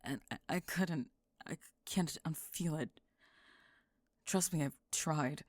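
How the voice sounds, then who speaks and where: A woman acting a guarded young goth in an intimate audio roleplay, speaking in a halting, dry, understated way with tenderness underneath. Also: sits low, no room sound. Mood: vulnerable, confessional.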